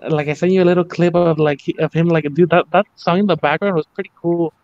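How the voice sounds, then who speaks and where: A man's voice: a little high, steady tone, fast, a little mellow, dry recording. A man from the United States in a casual chat, talking hesitantly and searching for words. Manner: hesitant, many fillers and restarts. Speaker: guest. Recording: dull, dry sound.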